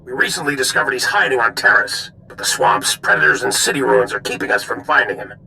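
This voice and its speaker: A man playing a grave, authoritative military commander with a gravelly voice, giving a briefing in a video game. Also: mid-range voice, quick pace, bright, forceful tone, slight background noise, low-quality recording. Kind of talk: scripted video game dialogue.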